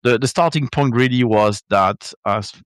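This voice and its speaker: A man's voice: medium pitch, moderate pace, smooth, no echo, studio quality. A man from Belgium, a native French speaker with a French Belgian accent, talking as a podcast guest over a video call in a chatty, hesitant way. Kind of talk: interview conversation.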